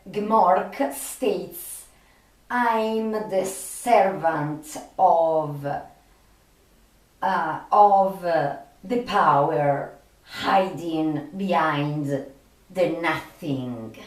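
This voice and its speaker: Very slow-talking woman. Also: on the low side. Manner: halting, with long pauses and frequent hesitations. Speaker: video host. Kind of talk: informal explanatory commentary, retelling film dialogue. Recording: echoey room, distant microphone.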